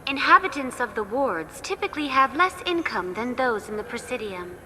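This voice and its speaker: A woman playing a polite, impassive virtual tour guide program in a game, speaking in formal, measured, instructive phrases. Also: on the high side, very animated, bright, forceful tone. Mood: courteous, impassive. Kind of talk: scripted informational guide narration. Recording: echoing hall, faint background noise.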